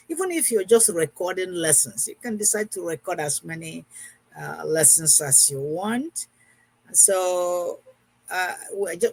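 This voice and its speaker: A woman's voice: on the low side, slightly rough. A woman in her sixties, a math teacher giving an informal recorded lesson, who keeps repeating and rephrasing her points. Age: 60s.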